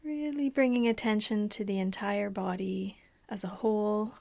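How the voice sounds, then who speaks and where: A woman meditation teacher leading a guided body scan in a calm, soothing, unhurried way, with a soft, breathy voice that often trails toward a whisper. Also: medium pitch.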